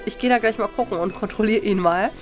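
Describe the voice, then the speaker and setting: A woman's voice: mid-range voice, fast. A woman in her thirties talking casually as a vlog host, recorded indoors on a handheld camera. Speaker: video host. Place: indoor rooms, studio and office. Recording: music behind the voice. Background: background music.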